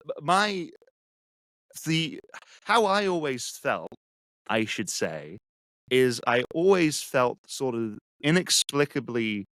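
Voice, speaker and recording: A man from England with an English accent, a guest speaking reflectively and haltingly with many restarts. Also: medium pitch, a bit bright, clear, resonant tone, no room sound. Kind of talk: casual conversation.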